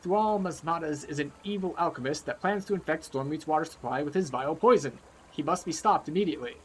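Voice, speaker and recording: A man's voice: fairly high pitch, fast, slight background noise, natural voice. A man acting an urgent, pleading fantasy quest giver. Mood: earnest warning.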